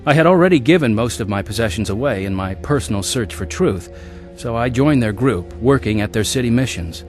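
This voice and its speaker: Guy acting restless adventurer telling his life story, radio drama, really animated pitch, music under it. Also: brisk, lightly acted. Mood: reflective, earnest. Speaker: lead actor and narrator of a life story. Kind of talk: radio drama acting and first-person narration.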